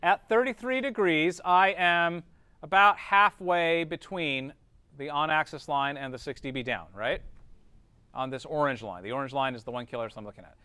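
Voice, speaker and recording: Clear-voiced male teacher, close dry sound. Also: on the high side, moderate pace, sharp tone, dry recording. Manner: informal, checks understanding with short tag questions. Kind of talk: classroom lesson, explaining step by step.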